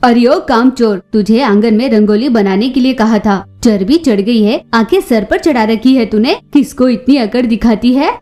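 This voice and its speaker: A woman's voice: on the high side, moderate pace, few pauses, dark tone, faint music behind, natural voice. A woman voicing a quarrelsome, bullying older female bird mother-in-law in a cartoon story, scolding and sarcastic. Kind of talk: Hindi children's cartoon story acting.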